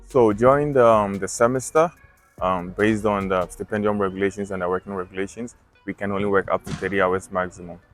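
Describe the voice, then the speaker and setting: A man from Ghana, a final-year student and trainee, speaking in a measured way with hesitations. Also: on the low side, fairly even intonation, soft background music.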